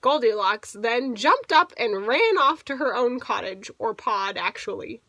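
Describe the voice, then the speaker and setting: Bright, lively woman reading to children. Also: on the high side, no room sound. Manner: playful, drawn-out emphasis for children. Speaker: teacher reading to class. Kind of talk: expressive picture-book read-aloud with asides.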